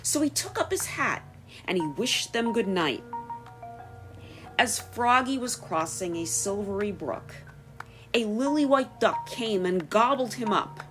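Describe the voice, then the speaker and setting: A woman with an American accent hosting a podcast, reading scripted narration in careful, clear phrases. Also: mid-range voice, lively intonation, a little slow, music in the background, rough recording. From United States. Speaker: podcast host and storyteller.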